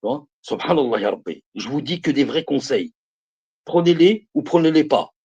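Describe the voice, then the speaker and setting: A man's voice: on the high side, animated, moderate pace, a little mellow, breathy edge, dull audio. A man in his fifties giving religious advice on a live stream, emphatic and repetitive, speaking to his listeners as brothers and sisters. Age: 50s. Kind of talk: preaching to viewers on a live stream.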